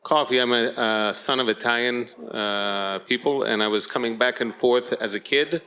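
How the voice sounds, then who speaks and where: A middle-aged American man speaking as a guest on a panel, choosing his words deliberately with halting phrasing. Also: mid-range voice, sharp tone. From Florida, United States. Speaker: panel guest, company executive. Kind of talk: panel discussion, explanatory.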